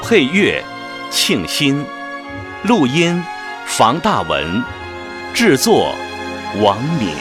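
A man reading scripted credits as a radio drama announcer, in a formal, measured, declamatory manner. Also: medium pitch, huge pitch swings. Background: background music.

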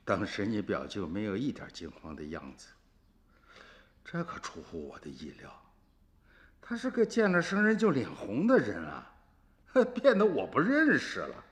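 A man's voice: on the low side, wildly swooping pitch, moderate pace, in a large hall. A man playing an earnest, suspicious village uncle in a radio drama, insistent and sighing. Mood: grave recollection. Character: earnest, suspicious rural uncle telling the past to a grandchild. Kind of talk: Chinese radio drama acting and narration. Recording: music behind the voice.

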